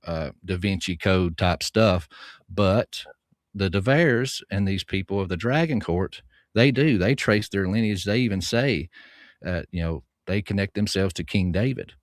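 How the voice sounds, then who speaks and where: Man, Southern American twang, very deep voice, soothing, folksy, dry close sound. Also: no echo. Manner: folksy, earnest, explaining at length. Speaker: guest author. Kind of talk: livestream conversation.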